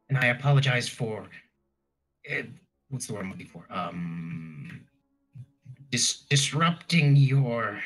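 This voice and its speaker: Husky, very animated man. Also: fairly low pitch, poor audio. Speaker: tabletop game player. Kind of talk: casual chat, roleplay. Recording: music behind the voice.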